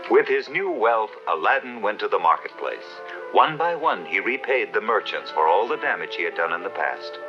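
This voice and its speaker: A man's voice: on the low side, big pitch swings, moderate pace. A man narrating a fairy tale as the scripted storyteller of a 1970s cartoon. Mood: storytelling. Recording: dull old TV soundtrack, music behind.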